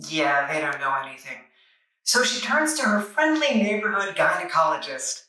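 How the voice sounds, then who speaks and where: Female reviewer, bright and wry, recorded in a very echoey room a bit away from the mic. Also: on the low side, very animated, normal speed. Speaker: comic book reviewer, video host. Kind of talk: semi-scripted review narration. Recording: echoey room, distant microphone.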